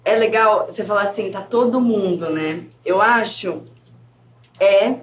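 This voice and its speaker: A woman's voice: mid-range voice, unhurried. A theater company woman talks in an engaged, earnest way, working out her thoughts as she goes. The recording is roomy, with noise underneath. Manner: earnest, engaged, thinking aloud. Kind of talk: casual panel discussion. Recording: echoey room with background noise.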